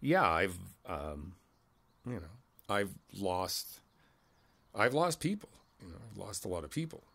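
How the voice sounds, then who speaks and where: A man playing a kindly older neighbor in an ASMR roleplay, reflective and candid, talking in a halting, conversational way and repeating his phrases. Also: sits low, sing-song, a bit bright, heavily acted. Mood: somber, candid.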